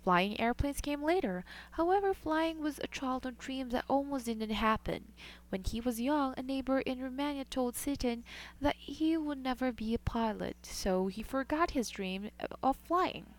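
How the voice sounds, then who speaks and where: A woman with a somewhat high voice reads a scripted news feature. She keeps a measured, even news delivery throughout. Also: low-quality recording. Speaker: news reporter.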